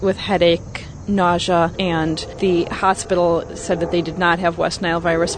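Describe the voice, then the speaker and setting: A woman advocate speaks earnestly in a low, flat voice. She is recorded outside in a nature preserve. Insects and distant traffic are clearly heard behind her. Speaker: pest control advocate. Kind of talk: interview outdoors. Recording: outdoor field recording, noisy.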